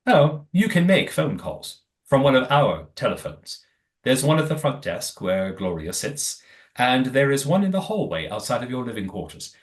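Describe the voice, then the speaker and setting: A man's voice: mid-range voice, big pitch swings, normal speed, close to an everyday voice. A man playing a courteous master of a secretive old manor in an audio play, with formal, precise wording. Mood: formal, firm.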